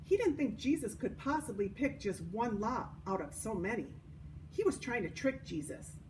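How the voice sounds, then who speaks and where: A woman hosting a children's story time at a church, reading and explaining in a deliberate way for young listeners. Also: on the high side, animated, a little mellow. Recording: distant microphone, noticeable background noise.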